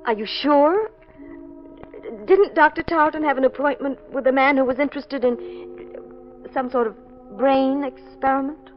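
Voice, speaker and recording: Woman playing young heroine, anxious, earnest, questioning, very high voice, muffled vintage radio sound. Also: normal speed, soft background music, natural voice. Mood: probing. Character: anxious, persistent young woman investigating a murder. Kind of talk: 1940s radio mystery acting. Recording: muffled old radio recording.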